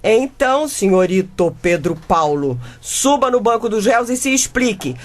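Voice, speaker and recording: A Brazilian mother played by a woman, argumentative and chiding, with a lively, swooping delivery at a medium pitch. She speaks in comic radio-drama style. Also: moderate pace, close to an everyday voice. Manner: expressive, reasoning and chiding. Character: middle-class mother, newspaper reporter, untidy and argumentative. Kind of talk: comedic radio drama acting.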